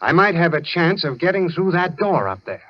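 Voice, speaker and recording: An actor playing a desperate, impulsive husband with an urgent, emphatic delivery in an old radio thriller. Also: male voice, a little high, big pitch swings, fairly quick, over loud music. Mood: dazed, scheming. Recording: muffled noisy old radio recording.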